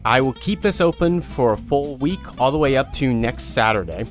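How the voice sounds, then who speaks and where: A man's voice: medium pitch, lively intonation, normal speed, faint music behind. A man speaking informally and directly to viewers as an online creator, explaining guidelines in a casual video announcement.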